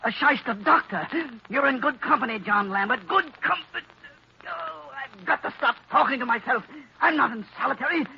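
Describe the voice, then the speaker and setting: An actor playing a bitter, nervous paroled doctor in melodramatic 1940s radio style. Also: male voice, very high-pitched, moderate pace, gravelly, slightly rough, full character voice. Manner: anguished, melodramatic. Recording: muffled, hissy old radio recording.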